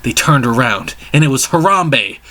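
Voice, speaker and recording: A man reads a story aloud as a dramatic narrator. His voice is bright and forceful, sitting at an ordinary male pitch. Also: animated, moderate pace. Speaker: reader of a fan fiction story.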